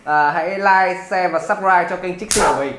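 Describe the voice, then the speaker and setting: A man's voice: sits high, steady tone, brisk, noisy background. A man in his twenties bantering casually as a quiz contestant. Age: 20s. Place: indoor studio, seated at table. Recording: roomy echo, music underneath.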